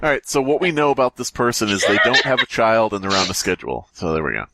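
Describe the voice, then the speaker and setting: A man's voice: fairly low pitch, expressive, quick pace, gravelly, noisy background. A man co-hosting a podcast, moving between casual comic banter and reading aloud, with a mock-exasperated manner. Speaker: podcast co-host.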